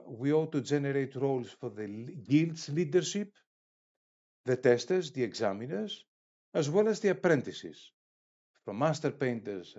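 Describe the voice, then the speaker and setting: A man giving a formal instructional lecture in a halting, hesitant way, with pauses mid-phrase. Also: mid-range voice, dark tone, dull audio. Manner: formal, academic wording. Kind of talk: instructional lecture, explaining step by step.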